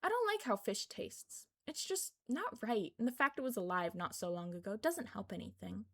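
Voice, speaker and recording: A woman's voice: medium pitch, expressive, normal speed. A woman voicing a young, curious boy in a story, frank and inquisitive. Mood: matter-of-fact.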